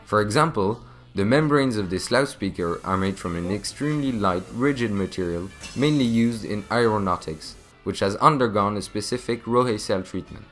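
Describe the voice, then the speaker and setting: A man's voice: on the low side, lively intonation, normal speed, hardly any pauses, a bit bright, soft background music. A man reading scripted narration as the narrator of a corporate video.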